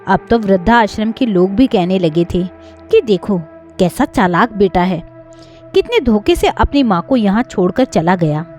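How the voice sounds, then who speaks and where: A woman narrating a scripted, sentimental moral story as a storyteller, in an expressive way. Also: mid-range voice, unhurried, faint music behind.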